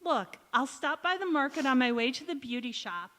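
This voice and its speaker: Woman acting a high-voiced, bossy best-friend housewife. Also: lively intonation, normal speed, fairly bright tone. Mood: encouraging. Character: brisk, supportive best-friend housewife, wry and folksy. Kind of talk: golden-age radio sitcom acting on stage. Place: large indoor hall, live stage performance. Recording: echoey hall, community theatre stage.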